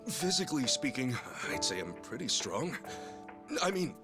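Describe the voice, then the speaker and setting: An actor playing an earnest, bumbling, excitable ex-yakuza in a video game. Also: male voice, mid-range voice, moderate pace, music in the background, close to an everyday voice. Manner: bumbling, hesitant, exuberant when excited.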